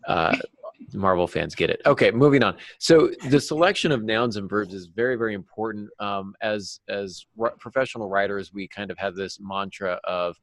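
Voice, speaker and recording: A man co-hosting a podcast, explaining casually with halting false starts and small jokes. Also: fairly low pitch, no room sound. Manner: joking, hesitant false starts. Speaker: podcast co-host, novelist.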